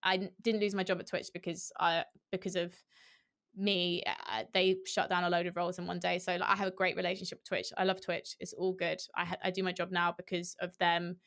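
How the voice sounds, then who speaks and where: A young woman esports host, a guest on a video call, talking candidly and animatedly through a headset. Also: on the low side. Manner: candid, animated, quick with restarts and fillers. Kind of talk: casual interview chat. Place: small room at home.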